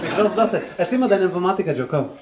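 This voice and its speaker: Teasing high-voiced comedian in a club. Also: male voice, lively intonation, rapid-fire, a crowd in the background. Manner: loud, teasing, slangy, laughing often. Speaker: stand-up comedian. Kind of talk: crowd work at a live comedy show. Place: small comedy club stage with audience. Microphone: handheld stage mic. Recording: echoey club with audience noise.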